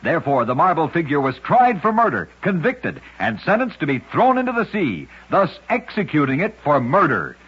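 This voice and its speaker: Raspy guy narrating weird stories on old radio, highish voice, dull lo-fi recording, dramatic and deliberate. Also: wildly swooping pitch. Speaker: radio host and narrator of oddities. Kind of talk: scripted old-time radio narration of strange true tales.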